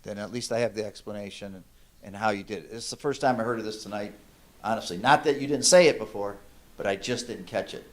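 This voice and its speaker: Man, public meeting, blunt, joking, lowish voice. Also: fast.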